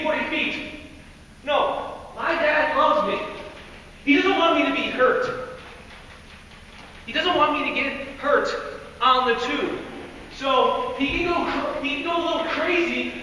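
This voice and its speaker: A teenage boy speaking loudly and energetically to a group, his voice very high and bright. He laughs often as he talks. Heard from far off in a large, echoey hall, with a long reverb tail and faint noise behind him. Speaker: youth speaker. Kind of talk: lively devotional talk to a group. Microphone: no microphone.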